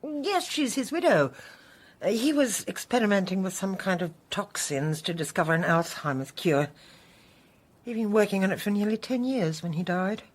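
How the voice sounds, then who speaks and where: A woman with a husky voice acting in a British radio drama as a composed, earnest witness. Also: mid-range voice, expressive, normal speed, lightly acted. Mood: regretful.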